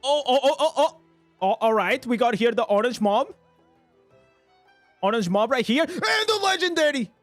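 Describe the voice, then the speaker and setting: A man with a high voice for a man streams a game live, narrating his play in English. He bursts into excited reactions over loot and chats casually with his viewers. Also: moderate pace, long pauses, dry recording, faint music behind. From Portugal. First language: Portuguese. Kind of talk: live gameplay commentary.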